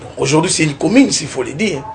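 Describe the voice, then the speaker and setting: A young man from the Kongo Central region of DR Congo, a political candidate giving a TV interview, talking in a lively way and often tagging his points with a rising question. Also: a little high, fast, gravelly, slight background noise. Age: young adult. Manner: animated, keeps adding "n'est-ce pas?", rising tone on points.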